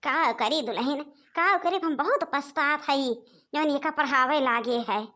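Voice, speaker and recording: A woman's voice: high voice, a little slow, sharp tone. A woman voicing a worried, scolding village mother-in-law in a cartoon comedy, with an Awadhi accent and a lamenting, nagging manner. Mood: fretful. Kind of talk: animated comedy dialogue.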